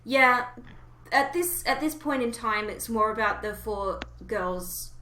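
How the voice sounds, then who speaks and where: Bright echoey woman in casual discussion. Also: on the high side, slow. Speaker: podcast co-host. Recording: roomy, echoey voice recording.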